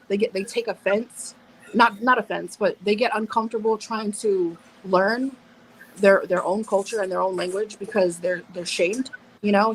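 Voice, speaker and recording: A woman's voice: mid-range voice, slight background noise, rough recording. A woman in her thirties, a guest on a live panel, talking bluntly, cursing, restarting and over-explaining. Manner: blunt, profane, self-interrupting, over-explains. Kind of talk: casual live panel discussion.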